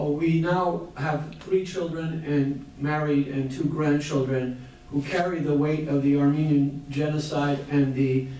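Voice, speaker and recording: An older man, born in Iran, moderating a university panel in a measured, hesitant way with many fillers. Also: fairly high pitch, darkish tone, a little hiss or hum. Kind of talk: public panel talk. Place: university lecture hall. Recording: echoey hall from far off.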